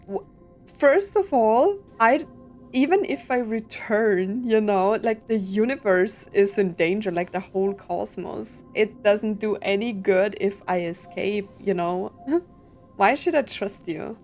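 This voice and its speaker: A woman's voice: mid-range voice, lively intonation, slow, a little mellow, faint music behind. Chatty young woman reacting to a game. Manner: chatty and candid, with many fillers, laughs and blunt quips at the game. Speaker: gaming streamer. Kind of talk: casual game playthrough commentary.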